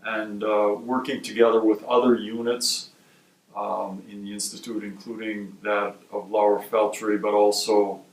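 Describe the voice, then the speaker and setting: A man from Wisconsin who directs a research institute, giving measured, explanatory interview answers. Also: lowish voice, flat delivery. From Wisconsin, USA. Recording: roomy sound from a distant mic.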